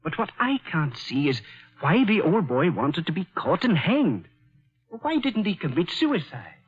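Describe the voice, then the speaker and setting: Genial chatty man, lively, raspy, vintage radio. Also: on the high side, unhurried, heavily acted. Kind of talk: 1940s radio acting. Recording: dull old radio broadcast.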